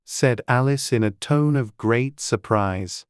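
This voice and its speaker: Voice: medium pitch, takes it slowly, clean tone, no room sound, studio quality. A narrator reading a children's classic as a scripted storybook audiobook.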